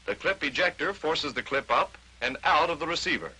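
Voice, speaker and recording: A man's voice: on the high side, animated, fairly quick, sharp tone, husky, rough recording. An American man narrating a 1940s instructional training film, explaining step by step in short declarative statements on a vintage film soundtrack.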